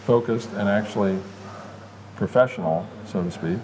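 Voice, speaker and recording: A man's voice: on the low side, moderate pace, darkish tone, low-quality recording. A man interviewing a guest in an encouraging, conversational way. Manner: encouraging, frequent backchannel. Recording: audible air conditioning hum.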